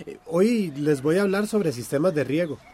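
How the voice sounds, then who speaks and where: Actor as a mellow, higher-voiced male instructor. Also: expressive, normal speed, a little hiss or hum, lightly acted. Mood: friendly, instructive. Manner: patient, explanatory. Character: friendly environmental activist teaching farming. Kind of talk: radio drama acting.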